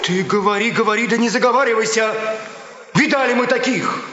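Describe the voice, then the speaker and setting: Jeering, very high-voiced peasant brawler. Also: male voice, a little fast, pushed and bright, slight background noise. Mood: threatening. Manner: taunting, folksy, cocky. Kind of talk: theatrical radio drama. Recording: muffled, echoey, reverberant radio recording.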